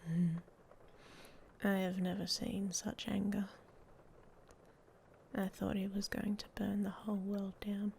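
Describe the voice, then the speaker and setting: Woman doing a young werewolf girl for asmr roleplay, breathy n whispery, bit gravelly, really slow, guarded and blunt. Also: mid-range voice, darkish tone. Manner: slow, hesitant, dryly blunt confiding. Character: guarded, hot-tempered young she-wolf werewolf, confiding softly. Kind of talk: ASMR roleplay audio story, intimate monologue. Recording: faint background noise.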